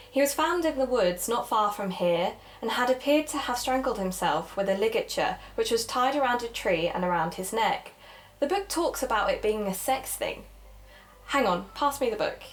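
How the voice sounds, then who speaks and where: A woman's voice: on the high side, animated, normal speed, close to an everyday voice, poor audio. A woman playing a keen young amateur podcaster in an audio drama, chatty and explanatory in manner. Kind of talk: naturalistic audio drama dialogue and narration.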